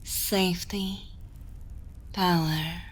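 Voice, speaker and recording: A woman playing a dominant, possessive yet motherly evil queen in an ASMR roleplay, speaking softly and intimately close to the microphone, often in a breathy whisper. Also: lowish voice, steady tone, exaggerated voice. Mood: silky, intrigued. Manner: slow, deliberate, commanding, intimate.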